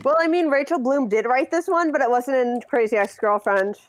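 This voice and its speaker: High-pitched, teasing young-sounding woman's voice. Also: fairly quick, slight background noise. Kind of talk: casual playful chat. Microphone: online voice call.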